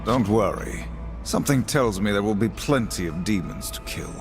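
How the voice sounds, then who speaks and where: An actor playing a stern, grim warrior horseman in a video game, speaking in a solemn, formal, measured way. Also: lowish voice, animated, lightly acted. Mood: grim, resolute. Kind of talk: video game cutscene acting. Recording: loud music behind the voice.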